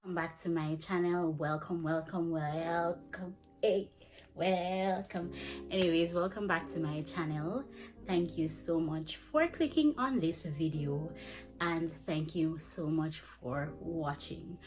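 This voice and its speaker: A young woman giving chatty, fast-paced teaching advice to camera in a small indoor room. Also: lowish voice, soft background music. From Jamaica. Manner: chatty, fast-talking, animated with hand gestures. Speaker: vlogger and ESL teacher. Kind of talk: casual advice vlog. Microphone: camera framing head to chest.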